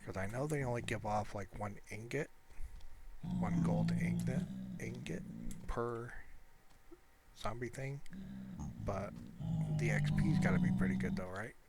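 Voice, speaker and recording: A man's voice: mid-range voice, unhurried, long pauses, loud music behind the voice. A man giving casual, rambling gameplay commentary in a hesitant, meandering way, often correcting himself. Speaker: gamer narrating own gameplay.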